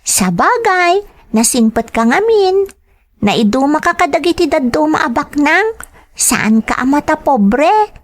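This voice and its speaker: A woman plays a schoolgirl daughter in an audio drama, with a high, youthful voice. She speaks earnestly and politely, as a dutiful child. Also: big pitch swings, unhurried. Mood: polite, warm. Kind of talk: Ilocano audio drama acting.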